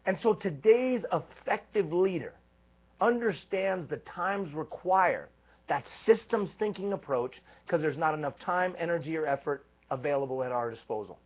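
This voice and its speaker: A man giving a live leadership coaching talk, energetic and emphatic, stacking repeated phrases. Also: on the high side, moderate pace. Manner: energetic, emphatic, repetitive build-ups. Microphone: live stream microphone. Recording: tinny phone-like live stream.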